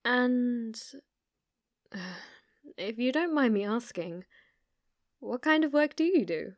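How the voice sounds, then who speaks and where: A British woman acting in an ASMR roleplay as a sweet, shy, secretly obsessive shop clerk, soft-spoken, hesitant and apologetic. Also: fairly high pitch, lively intonation, slow pace, no echo. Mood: curious, concerned.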